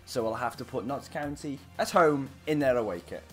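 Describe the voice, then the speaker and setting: A hoarse man, streaming live and giving excitable, chatty play-by-play to his viewers. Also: mid-range voice, a little fast, faint music behind. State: hoarse, scratchy throat. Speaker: game streamer. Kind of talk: live play-by-play commentary while gaming.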